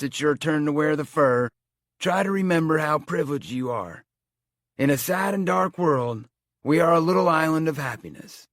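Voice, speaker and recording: A man's voice: fairly high pitch, no room sound, studio quality, pitched above the performer's own voice, natural voice. A man voicing a very old male amusement park owner giving a solemn, sweeping speech.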